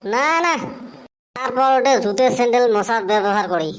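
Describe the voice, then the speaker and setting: A man voicing a cheeky, stubborn, thrifty young village lad in a cartoon comedy, with a pitch-raised voice and a northern Bengal and western Assam accent. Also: moderate pace. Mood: stubborn, matter-of-fact. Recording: clear background noise.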